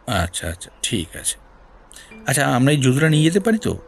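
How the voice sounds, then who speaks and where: An actor playing a shrewd, persistent senior detective in an audio drama, questioning in a deliberate, probing way. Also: male voice, mid-range voice, big pitch swings, vocal fry, a little hiss or hum. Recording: music bed behind voice.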